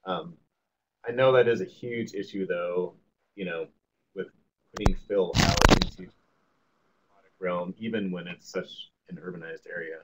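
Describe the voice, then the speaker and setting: A man answering a webinar question in a thoughtful way, with pauses and fillers. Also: sits low, fairly even intonation. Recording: dull web call audio with faint noise.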